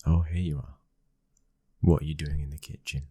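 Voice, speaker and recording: A British man acting a commanding mafia boss's son, a stern but loving husband, in an intimate ASMR roleplay with a husky voice. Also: extremely low pitch, level tone, normal speed, warm tone, heavily acted. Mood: tender, teasing.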